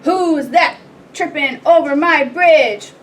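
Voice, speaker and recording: Woman acting out a loud roaring mean troll. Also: high voice, expressive. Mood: roaring challenge. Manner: roaring, drawn-out, threatening. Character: mean, ugly, roaring male bridge troll. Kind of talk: children's storybook read-aloud. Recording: distant mic, light background noise.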